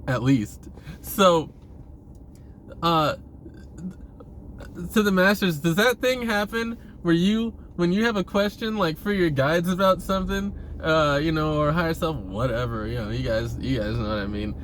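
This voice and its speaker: A man giving a casual, rambling monologue to viewers, halting and repeating himself, with informal, sweary phrasing. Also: on the high side, a bit bright, a little hiss or hum. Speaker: vlogger.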